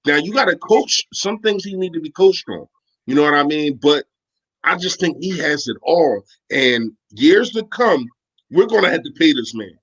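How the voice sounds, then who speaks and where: Excited guy talking sports, voice all over the place, kinda higher, muffled sound. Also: moderate pace, husky. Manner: animated, stumbles and restarts, laughs often. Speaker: sports podcast co-host. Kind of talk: postgame sports talk.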